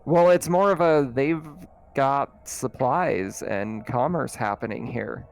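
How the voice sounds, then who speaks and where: A man's voice: medium pitch, slow pace, creaky, smooth. A man running a tabletop game, narrating in an informal, conversational way as game master.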